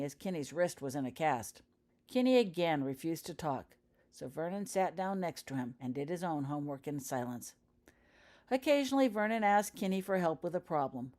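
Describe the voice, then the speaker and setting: A woman with a fairly deep voice reads fiction aloud. She narrates in a scripted audiobook style, as the author of the story. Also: a little mellow. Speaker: author reading own fan fiction aloud.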